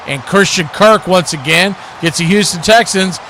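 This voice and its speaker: An American man with a fairly high voice commentates live football. His delivery is folksy and animated, rising into loud calls as plays unfold. A clear rumble of noise sits behind him. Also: normal speed. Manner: folksy exclamations. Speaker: play-by-play sports commentator on a radio watch party.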